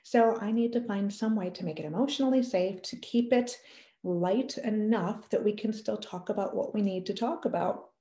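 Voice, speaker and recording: A woman in her thirties telling a family story to camera in a casual, animated way. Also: mid-range voice, moderate pace, reverberant. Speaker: parenting educator. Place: small room indoors.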